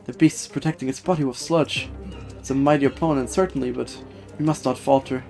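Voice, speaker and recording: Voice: medium pitch, fairly even intonation, brisk, gravelly, dark tone, faint music behind, lightly acted. Gallant aquatic prince for a game, voiced by a streamer: mid-range, formal, earnest, courteous warrior. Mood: resolute. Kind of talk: reading video game dialogue aloud in character.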